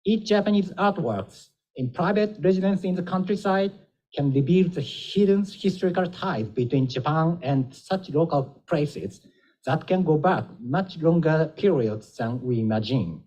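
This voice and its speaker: A man, an embassy minister, giving a formal read introduction before a lecture, measured and slightly halting. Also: fairly high pitch, lively intonation, mellow, poor audio.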